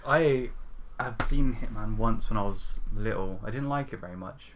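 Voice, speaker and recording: A man's voice: fairly low pitch, moderate pace, noisy background. A young man co-hosting a podcast and chatting casually about films. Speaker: podcast co-host.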